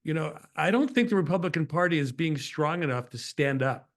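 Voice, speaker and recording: A man's voice: a little high, lively intonation, a little fast. A man hosting a political interview in a conversational way, earnest and opinionated as he shares his views. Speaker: show host.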